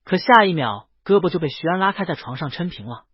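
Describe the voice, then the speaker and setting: A man with a fairly high, husky voice narrates a romance audiobook. His pitch moves around in a lively way as he tells the story. The recording is dry, close and slightly dull. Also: quick pace, no room sound. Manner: reads character dialogue within the narration. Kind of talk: scripted romance novel narration.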